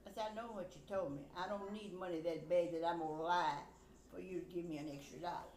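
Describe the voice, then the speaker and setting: A woman's voice: fairly low pitch, fairly even intonation, fast talker, a little mellow. An older woman, a grandmother, casually recounting family stories in a rambling, blunt way, acting out conversations as dialogue. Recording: echoey room, background noise.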